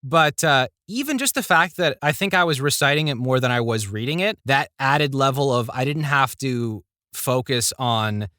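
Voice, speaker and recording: A man with a Canadian accent talks as a guest in a casual interview, telling stories from his own life. His voice is bright and forward, at an average male pitch. The recording is dry, with hardly any room sound. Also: normal speed, hardly any pauses, no room sound. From Toronto, Canada. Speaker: podcast guest, writer and voice actor. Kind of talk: casual interview chat, storytelling anecdotes.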